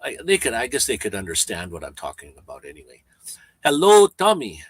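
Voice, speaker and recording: A man around seventy with a Canadian accent, chatting casually on a livestream, rambling and halting with frequent restarts. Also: mid-range voice, wildly swooping pitch, dry recording. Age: 70s. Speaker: van-life vlogger.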